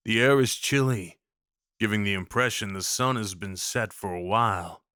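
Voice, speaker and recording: A man's voice: fairly low pitch, normal speed, pushed and bright, dry recording. A man reading scripted second-person story narration for an audio roleplay, recorded close.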